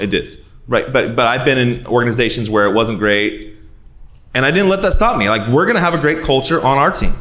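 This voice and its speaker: A man's voice: mid-range voice, a bit bright. A man with a mid-range voice speaking on a conference panel. He gives his advice with earnest emphasis, pressing his points home.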